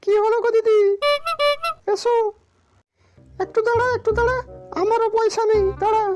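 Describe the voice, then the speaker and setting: A woman voicing a sharp-tongued, bossy village wife in a comic cartoon, snappy and nagging. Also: very high-pitched, flat delivery, moderate pace. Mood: alarmed, scolding. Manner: snappy, nagging, repeats commands. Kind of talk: comic Bengali cartoon dialogue. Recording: music clearly behind the voice.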